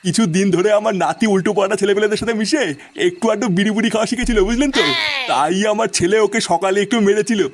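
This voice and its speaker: A man's voice: high voice, very fast. A man voicing a worried, earnest old grandfather in a comic cartoon. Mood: plaintive. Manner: earnest, pleading, consoling. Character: worried, plaintive old grandfather of missing boy.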